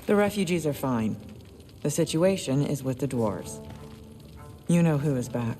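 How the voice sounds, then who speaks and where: Clipped, stern goddess in an echoing hall. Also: female voice, low voice, expressive, unhurried, warm tone. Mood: grave. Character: stern, cold goddess, disapproving woman of the house. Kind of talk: video game cutscene acting. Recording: hall reverb, faint music.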